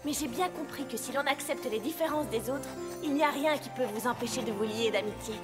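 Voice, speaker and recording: Woman doing studious young pony girl, squeaky high, bouncy voice, cartoon, music loud in the back. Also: brisk, lightly acted. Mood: reflective. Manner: earnest, studious, playful with friends. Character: bookish young unicorn pony mare, earnest. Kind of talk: French cartoon dub. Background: loud cartoon music score.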